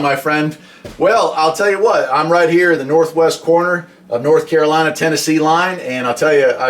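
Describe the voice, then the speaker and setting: A man's voice: on the high side, a bit bright. A man from the United States talking casually and explaining his radio hobby in a chatty, folksy way. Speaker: hobby vlogger and CB radio operator. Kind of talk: casual explainer talk with radio call demonstrations.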